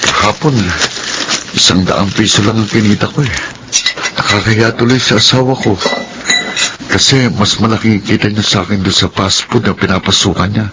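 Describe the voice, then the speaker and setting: A man acting as a timid, honest, poor young Filipino man in a radio drama. He speaks earnestly in a lowish voice, with clear background noise around him. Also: fairly even intonation, moderate pace, natural voice. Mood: downhearted. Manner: earnest, self-effacing.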